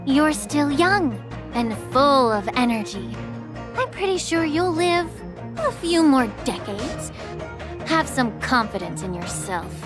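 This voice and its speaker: High-voiced, dryly teasing official. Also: female voice, big pitch swings, slow, music in the background, heavily acted. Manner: polite, dryly sarcastic. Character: composed, teasing young female port official. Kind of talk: video game voice acting.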